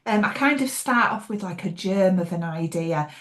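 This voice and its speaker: A middle-aged woman with a Yorkshire accent talks as a guest on an interview podcast. Her voice sits a little low, and her speech is friendly and rambling, with frequent hesitations and restarts. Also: expressive, moderate pace, fairly bright tone. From Yorkshire, England. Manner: chatty, hesitant with many restarts and laughs. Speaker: thriller author guest. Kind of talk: casual interview chat.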